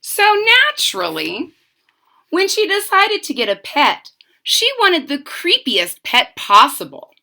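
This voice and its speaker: Animated, bright-voiced woman reading a storybook. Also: sits high. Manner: dramatic emphasis, drawn-out key words. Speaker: storybook reader. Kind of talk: expressive children's read-aloud with explanatory asides.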